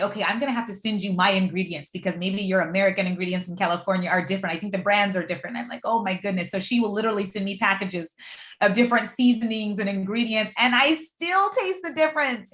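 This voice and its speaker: A woman from Puerto Rico with a Puerto Rican accent, Spanish her first language, speaking as a panelist in a lively way and acting out quoted exchanges. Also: on the high side. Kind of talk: casual panel discussion. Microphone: video call. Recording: echoey room audio.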